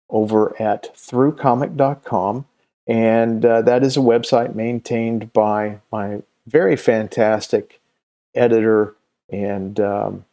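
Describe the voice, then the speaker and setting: A middle-aged man talking casually to viewers as a video host, unscripted, with a halting, meandering delivery and long hesitations. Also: mid-range voice, warm tone. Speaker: host of a comics recommendation video channel.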